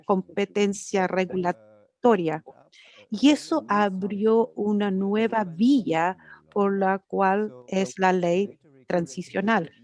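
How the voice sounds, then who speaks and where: A woman interpreting an academic lecture live, halting and correcting herself as she goes. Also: medium pitch, a little mellow. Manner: halting, with frequent restarts and self-corrections. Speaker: conference interpreter.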